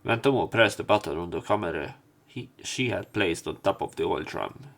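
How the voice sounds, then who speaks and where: A man's voice: sits low, expressive, normal speed, vocal fry, fairly bright tone. A man narrating a let's play, reading visual novel narration and dialogue aloud. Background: game music.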